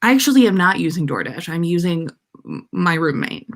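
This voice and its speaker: A woman's voice: lowish voice, very animated, fairly quick. An American woman hosting a casual livestream, chatting to viewers in a playful, rambling way. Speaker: podcast livestream host.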